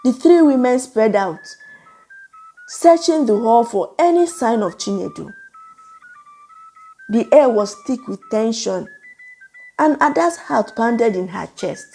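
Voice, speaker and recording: A woman narrating a scripted folktale in a measured, suspenseful, dramatic way. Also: a little high, expressive, creaky, mellow, faint music behind. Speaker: storyteller, narrator.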